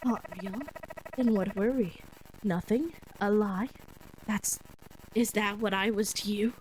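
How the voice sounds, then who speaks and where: A woman's voice: mid-range voice, fairly even intonation, slow, a little hiss or hum, close to an everyday voice, low-quality recording. A woman voicing the bitter, wounded ghost of a lover, accusing in a horror story. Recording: music under the voice.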